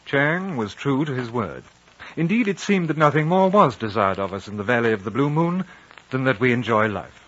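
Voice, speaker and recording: A self-possessed, curious traveler in a vintage radio drama. He is mid-pitched, urbane and articulate, polite but firm. The recording has the dull tone of an old broadcast. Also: a bit bright. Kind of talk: 1950 radio drama acting and narration.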